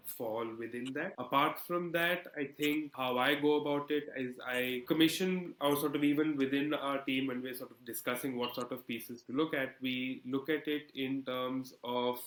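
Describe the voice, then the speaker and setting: A man talks through his editorial work in an interview, in a medium-pitched voice. His delivery is conversational and articulate, with a self-deprecating touch. The recording is noticeably echoey and roomy. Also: steady tone, normal speed, hardly any pauses, a bit bright. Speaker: politics editor being interviewed.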